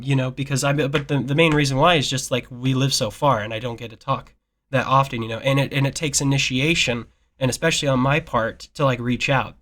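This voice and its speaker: A young man in a casual chat with family, speaking earnestly and at length. His voice sits at an ordinary middle pitch, and his thoughts wander with plenty of fillers as he works through them. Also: fairly bright tone. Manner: earnest, rambling, many fillers. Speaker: nephew.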